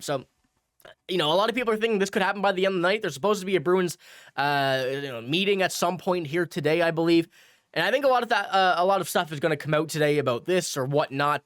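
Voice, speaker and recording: Young male sports talker, slightly high voice, stuffy with a cold, rambling, dry close sound. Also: a bit bright, no echo. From Newfoundland, Canada. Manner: rambling, restarts often with fillers. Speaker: sports news host. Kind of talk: casual commentary.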